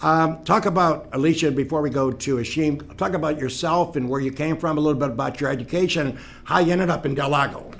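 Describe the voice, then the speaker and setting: Chatty middle-aged talk show host. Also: male voice, a little high, quick pace, creaky, few pauses. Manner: chatty, rambling with many fillers. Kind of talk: casual talk show hosting.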